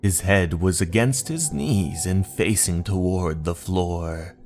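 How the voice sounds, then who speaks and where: A man narrating a scripted horror story in a deliberate, suspenseful, dramatic manner over music. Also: low voice, a little mellow. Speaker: narrator of horror manga stories. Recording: music under the voice.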